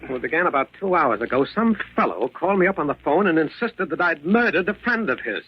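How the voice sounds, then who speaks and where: An actor playing a worried, earnest architect who is pleading and frightened, in 1940s radio drama style. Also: male voice, fairly high pitch, very animated, quick pace, slightly rough. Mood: agitated. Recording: muffled, band-limited old radio recording.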